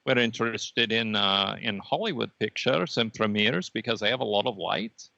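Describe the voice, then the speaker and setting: A man plays a talkative red dot of pure energy. The voice is very creaky yet forceful and bright, with a teasing, matter-of-fact manner and small hesitations, in a slightly muffled comedy podcast. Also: on the low side, normal speed, no room sound. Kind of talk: improvised comedy podcast character.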